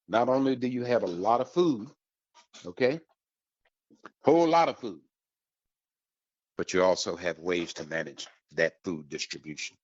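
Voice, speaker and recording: An American man hosting an informational radio talk, speaking with emphatic, deliberate, motivational phrasing. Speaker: radio host. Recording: muffled, roomy, echoey low-fidelity sound.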